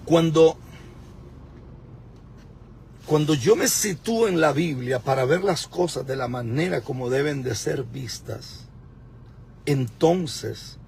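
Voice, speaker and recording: A man's voice: on the high side, gravelly, darkish tone, slight background noise. A man in his fifties preaching emphatically during a live stream from his car, repeating words for stress. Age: 50s. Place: in a car at a pay point.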